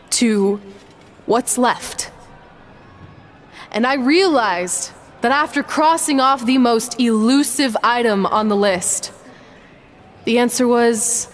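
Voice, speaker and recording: A seasoned champion wrestler for a video game, sincere and wistful. She speaks very slowly and deliberately in a fairly high voice. Some background noise sits behind her. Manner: measured, reflective, deliberate. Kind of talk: video game cutscene voice acting.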